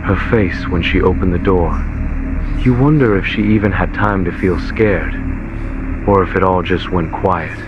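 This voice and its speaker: Deep-voiced man narrating horror, muffled lo-fi audio. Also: moderate pace, over music. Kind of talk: scripted horror story narration in second person. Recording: lo-fi muffled VHS-style audio, heavy noise.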